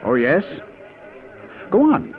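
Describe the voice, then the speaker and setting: An actor playing a hesitant, preoccupied middle-aged physics professor in old-time radio style, with an American accent. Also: male voice, on the high side, huge pitch swings, slow, some chatter behind, full character voice. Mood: curious, hesitant. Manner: hesitant, muttering, swinging emphasis. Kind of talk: 1940s radio acting. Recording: noisy, tinny old radio recording.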